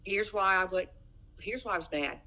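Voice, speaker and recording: A woman's voice: mid-range voice, normal speed, pushed and bright. A middle-aged woman in a personal phone argument, speaking insistently and repeating her phrases. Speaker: private caller in a family dispute.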